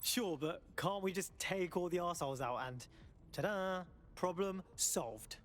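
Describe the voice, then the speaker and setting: A man's voice: high-pitched, unhurried, fairly bright tone, a little hiss or hum. A man voicing a mouthy, sarcastic young resistance recruit in a video game cutscene. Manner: sarcastic, colloquial.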